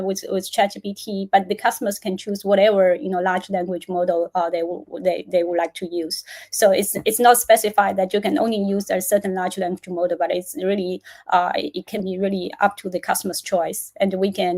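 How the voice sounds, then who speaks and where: A woman's voice: medium pitch, darkish tone. Woman presenter explaining a product in Q&A. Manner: repeats words and phrases, often says "right?". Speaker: software vendor presenter answering questions. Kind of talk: live product Q&A, spontaneous explaining.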